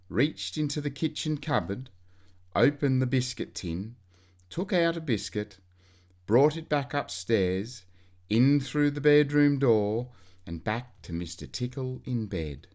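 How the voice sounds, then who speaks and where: A man with a medium-pitched voice reads a children's story aloud. His delivery is very slow, with a lively, swooping range of pitch. He leans expressively on key words and stretches them out for young listeners.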